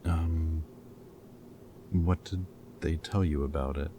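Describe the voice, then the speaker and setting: A man's voice: a bass voice, steady tone, takes it slowly, warm tone, a little hiss or hum. A man playing a captured rebel scientist in an intimate audio roleplay, caring and guilt-ridden, speaking in a hesitant, casual, earnest way. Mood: reluctant, confessional.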